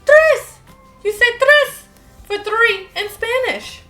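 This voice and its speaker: A woman reading a children's storybook aloud as host, playful and animated, with questions for a child and singsong refrains. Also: very high-pitched, slow, echoey room, faint music behind.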